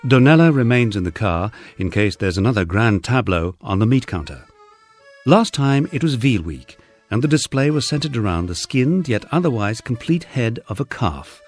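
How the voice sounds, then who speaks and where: A man with a husky voice reading a wry first-person memoir as scripted audiobook narration, dryly humorous and wordy. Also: mid-range voice, very animated, moderate pace, faint music behind.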